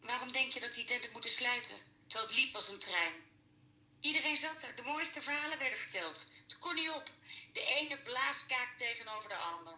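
Former pub landlady: gruff, scornful, wary, piercingly bright tone, echoing room, radio drama. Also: on the high side, lively intonation, brisk. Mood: wary, irritated. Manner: blunt, scornful, folksy turns of phrase. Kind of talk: naturalistic audio drama dialogue.

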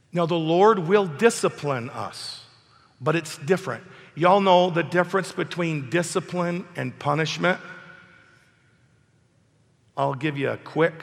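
A man preaching an emphatic sermon while hoarse from a cold, asking his congregation questions. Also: on the high side, fairly bright tone, in a large hall. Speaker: pastor. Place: church hall.

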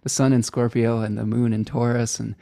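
A man's voice: medium pitch, little pitch movement, brisk, creaky, mellow, no echo, clean studio sound. A man hosting a live interview show, upbeat and chatty, with many fillers. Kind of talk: casual interview talk.

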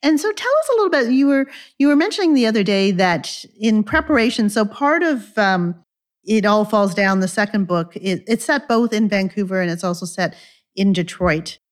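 A woman's voice: mid-range voice, expressive. Thoughtful woman interviewer. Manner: thoughtful, halting with fillers, enthusiastic. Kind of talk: conversational interview.

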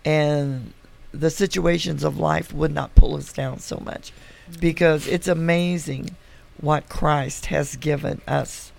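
A woman's voice: low-pitched, fairly even intonation, takes it slowly, a little mellow. A woman hosting a faith podcast with a congested voice, laughing often and turning earnest about belief. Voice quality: gravelly, congested. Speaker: podcast host. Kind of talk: casual podcast chat.

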